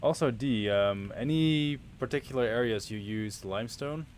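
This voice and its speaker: A Dutch man streaming a game, chatting to his viewers in a relaxed, rambling way. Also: medium pitch, normal speed, slight background noise. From Netherlands. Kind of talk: casual livestream commentary.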